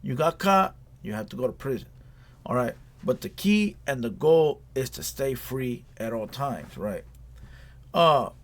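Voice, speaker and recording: Male reaction host, slightly high voice, blunt and slangy, casual commentary that hammers each point. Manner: blunt, repetitive, slangy lecturing.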